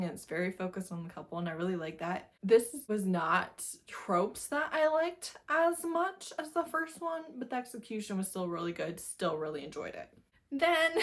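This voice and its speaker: A woman giving a casual book review in a giggly, gushing way, often repeating words for emphasis. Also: medium pitch. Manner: giggly, laughs often while talking. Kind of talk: casual monthly reading wrap-up chat.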